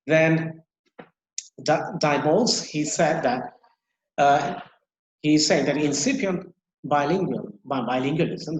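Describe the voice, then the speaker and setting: A man's voice: a little high, roomy sound, highs cut off. A man gives a university lecture, explaining each point over and over with many fillers and restarts. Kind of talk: recorded online lecture.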